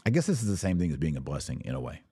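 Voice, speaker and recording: Male podcast host, low mellow voice, earnest and exhorting, talking informally straight to his audience. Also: sing-song, racing, breathy edge, no echo, studio quality. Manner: earnest, exhorting, poses questions and answers them. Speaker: podcast host answering live viewers. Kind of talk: informal livestream advice monologue.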